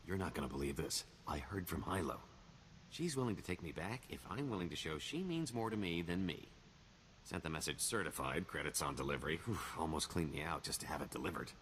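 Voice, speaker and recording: A roguish con man from a video game. His deep voice moves freely up and down, wry and theatrical, hiding a wistful streak behind glib bravado. Clear background ambience sits behind him. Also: lively intonation, a little fast, fairly bright tone, slight background noise. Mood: upbeat, boastful. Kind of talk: video game character dialogue.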